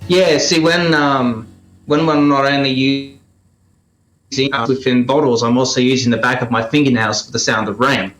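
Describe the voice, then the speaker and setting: A man's voice: mid-range voice, steady tone, normal speed, fairly bright tone. A man explaining his craft as an interview guest in casual chat, speaking over a live video call from an echoing room. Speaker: guest, radio drama storyteller and sound effects maker. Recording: roomy echo on video call.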